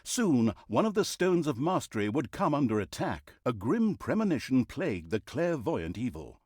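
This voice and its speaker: Male mock-grand storyteller for a dark fantasy game; wry, pompous, hugely animated delivery, dry studio sound. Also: medium pitch, moderate pace, slightly rough, dry recording. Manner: wry, mock-grand, breaks into flustered asides. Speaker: video game narrator. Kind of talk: theatrical storybook narration and game announcements.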